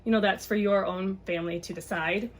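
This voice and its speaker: A woman's voice: mid-range voice, steady tone, brisk. A woman reading a children's book aloud and chatting casually to parents, explaining things simply.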